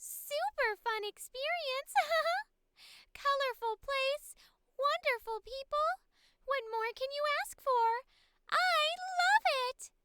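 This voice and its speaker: Super high soft girly voice, sweet giggly puppeteer lady, kinda slow, for a cartoon audition. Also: lively intonation, no echo, exaggerated voice. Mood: bubbly, delighted. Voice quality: soft, high-pitched, girlish. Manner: cheerful, giggly. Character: kind, gentle, playful young woman puppeteer. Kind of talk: character voice audition read.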